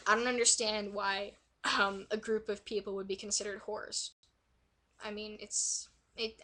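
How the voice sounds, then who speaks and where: Young woman, raspy, hoarse from a cold, bright tone, casual rambling vlog talk. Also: medium pitch. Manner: rambling, many fillers and self-corrections. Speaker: vlogger. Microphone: quiet built-in mic.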